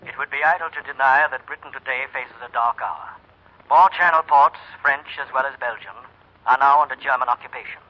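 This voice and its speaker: An actor playing a grave British wartime news announcer, reading formally in a 1940s style. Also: male voice, a little high, close to an everyday voice. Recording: dull vintage radio broadcast.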